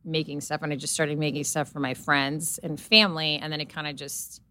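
A woman's voice: deep voice, little pitch movement, fairly quick, bright, forceful tone. A middle-aged woman, a guest on an interview show, telling her story casually with lots of fillers and quoted inner thoughts. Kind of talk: casual chat.

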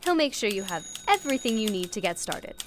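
Radio play: cheerful female receptionist, acted by a woman, animated delivery, faint noise behind. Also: medium pitch, fairly quick, close to an everyday voice. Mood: cheerful, helpful. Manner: bubbly, gracious. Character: cheerful, welcoming female front-desk receptionist. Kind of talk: old-time radio play acting.

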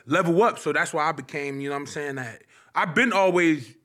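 High-pitched rambling young man. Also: a little fast. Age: 20s. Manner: animated, rambling, lots of filler phrases. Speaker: podcast guest, comedian. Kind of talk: casual interview talk.

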